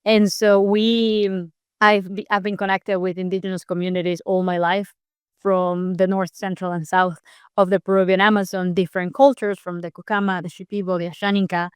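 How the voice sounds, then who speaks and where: A woman from Peru speaks with a very clean, smooth voice, recorded dry and close with no room sound. She is a scientist answering interview questions, explaining at length with occasional restarts. Her delivery stays earnest and steady. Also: mid-range voice, moderate pace, no echo. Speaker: scientist guest.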